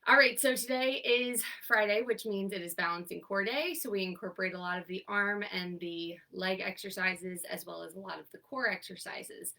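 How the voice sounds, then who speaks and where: A woman's voice: mid-range voice, normal speed, creaky, few pauses, pushed and bright, far from the mic. A young woman occupational therapist with a congested, stuffy voice, cheerfully leading a seated exercise class and counting down each hold. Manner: upbeat, encouraging, counts down holds. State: congested, stuffy from sinus trouble. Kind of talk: instructing an online exercise class.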